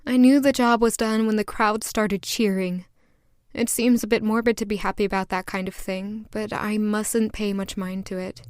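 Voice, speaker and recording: A woman's voice: medium pitch, fairly even intonation, moderate pace, darkish tone, clear, resonant tone, no room sound, clean studio sound, lightly acted. A woman playing a tender, hopeful young woman in an audio drama, speaking earnestly and wistfully. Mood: pensive, curious. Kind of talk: audio drama narration and dialogue.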